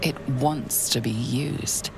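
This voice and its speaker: Very deep, ominous female narrator. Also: steady tone, creaky, music in the background, heavily acted, rough recording. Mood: hushed, ominous. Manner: measured, hushed, ominous. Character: low, ominous female narrator of dark fantasy. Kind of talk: scripted video game narration.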